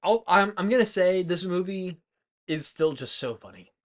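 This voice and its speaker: A man co-hosting a movie podcast, talking in a loose, informal way with lots of halting filler words as he retells things. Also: high voice. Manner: informal, heavy use of "like", halting, animated retelling. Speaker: podcast co-host. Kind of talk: rowdy casual movie chat.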